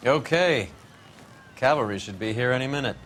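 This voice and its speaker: An actor playing a cynical, wisecracking police detective in a video game, with a gruff, sardonic manner. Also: male voice, mid-range voice, lively intonation, moderate pace, very noisy, close to an everyday voice. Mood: businesslike. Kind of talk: video game drama acting.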